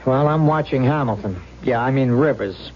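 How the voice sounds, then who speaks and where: On a muffled old radio drama, a man plays an earnest, plain-spoken patrolman. The voice is husky and mid-pitched, hesitant and deferential, like a subordinate unsure of himself. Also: moderate pace, a little mellow, lightly acted. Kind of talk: 1970s radio drama acting. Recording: muffled vintage radio broadcast.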